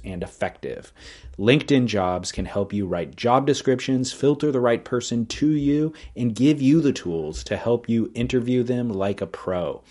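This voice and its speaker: A man's voice: mid-range voice, a little mellow. A man with a mid-range voice reads a scripted sponsor spot for a podcast. He works through prepared promotional copy as an announcer. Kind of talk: scripted ad read.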